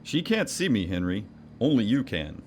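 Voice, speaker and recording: Man as a kindly, animated old Santa. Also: on the low side, moderate pace, slight background noise. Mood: encouraging. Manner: reassuring, quietly authoritative. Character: kindly, gently commanding old Santa Claus. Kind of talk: audio drama acting.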